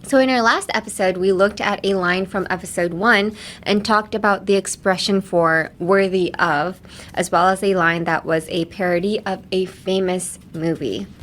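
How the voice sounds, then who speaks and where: A woman's voice: mid-range voice, steady tone, moderate pace, fairly bright tone, a little hiss or hum. Chatty woman podcast host. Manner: chatty and rambling, with tangents. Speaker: podcast host teaching phrases. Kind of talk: casual language-lesson podcast.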